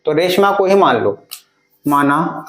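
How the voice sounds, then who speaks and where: A man in his twenties teaching math for an exam, addressing students directly and reading word problems aloud slowly, word by word. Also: fairly high pitch, sing-song, fairly bright tone, roomy sound. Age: 20s. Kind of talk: exam-prep video lesson.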